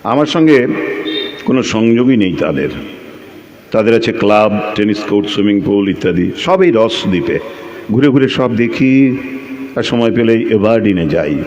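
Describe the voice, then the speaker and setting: Reflective lighthouse keeper, noisy background. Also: male voice, lowish voice. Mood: reflective, wistful. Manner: measured, reflective, expressive storytelling. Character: solitary, reflective Bengali lighthouse keeper telling his story. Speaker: narrator and lead actor in an audio play. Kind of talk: literary first-person dramatic narration.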